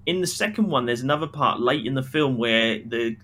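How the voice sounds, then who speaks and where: A man's voice: mid-range voice, animated, brisk, bright, forceful tone. A man co-hosting a casual podcast, giving critical, opinionated takes on a film. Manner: critical, acts out imagined quotes. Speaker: podcast co-host reviewing a film.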